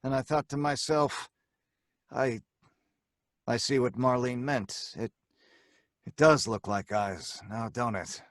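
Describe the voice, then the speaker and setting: Radio-play lead male actor: stubborn, wry small-time crook and storyteller, medium-pitched voice, no room sound. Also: slow, pauses often, dry recording, lightly acted. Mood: wry, eerie narration. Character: hard-boiled, sardonic male crook who narrates his predicament. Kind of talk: old-time radio drama acting and first-person narration.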